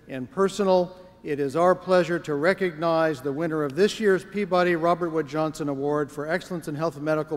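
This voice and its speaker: A man giving a formal, measured prepared speech as an award presenter. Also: fairly high pitch. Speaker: award presenter, foundation executive. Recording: echoey large hall.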